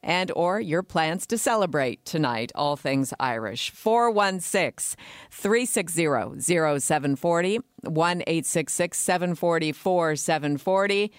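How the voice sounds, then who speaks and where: A woman's voice: low-pitched, animated, unhurried, no room sound, clean studio sound. A woman with a Canadian accent presenting a radio phone-in as its host. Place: radio studio.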